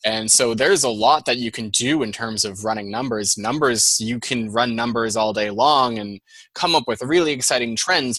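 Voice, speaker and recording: Male podcast guest, Boston accent, crisp forward tone, chatty explainer. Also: mid-range voice, a bit bright, dry recording. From Boston, USA. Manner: chatty, fast-flowing explanations with many "like"s. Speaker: guest, data scientist. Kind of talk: casual interview chat.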